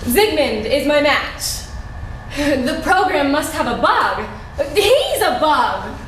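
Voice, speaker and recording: A woman's voice: sits high, very animated, slow pace, fairly bright tone, heavily acted. An actress playing an urbane, indecisive, pretentious woman in her thirties in a stage play, with an intellectual, theatrical manner. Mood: dismayed. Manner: theatrical, intellectual, sprinkles in French. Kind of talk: live stage play acting. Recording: echoey theatre stage recording, room noise.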